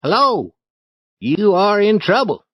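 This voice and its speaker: A man's voice: sits high, very animated, slow, a bit bright, husky, no echo, studio quality, pitched above the performer's own voice, heavily acted. Man doing a boisterous eager young boy. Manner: boisterous, pleading. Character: eager boy pretending to be a riverboat.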